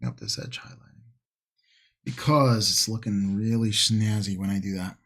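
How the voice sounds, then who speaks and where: A man with a fairly low, husky voice chats casually to livestream viewers while he works on a hobby. He takes his time, rambling and musing to himself, with plenty of gravelly fry in his speech. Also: fairly even intonation, normal speed, a bit bright. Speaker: livestream host, miniature painting hobbyist.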